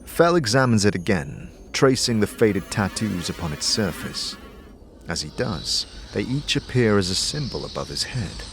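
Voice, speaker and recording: Man, theatrical fantasy narrator, deep-set voice with wide melodic swings, scripted storytelling, faint music underneath. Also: very animated, moderate pace. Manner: theatrical, second-person storytelling. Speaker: narrator and lead player.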